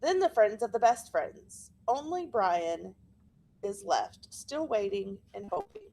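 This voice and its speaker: A woman reading a children's picture book aloud in an expressive, deliberate storytelling manner. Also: mid-range voice, poor audio. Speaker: storybook reader.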